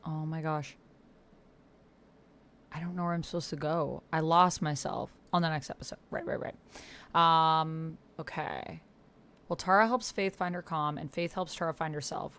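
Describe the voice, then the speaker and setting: Woman, low pitch, casual gaming stream chatter, mutters to herself while reading, light noise and key clicks behind. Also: fairly bright tone. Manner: talks to self, reacts aloud, murmurs while reading and typing. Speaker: livestream host. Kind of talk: casual livestream chat while playing a text roleplay game. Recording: background noise with keyboard typing. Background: computer keyboard typing.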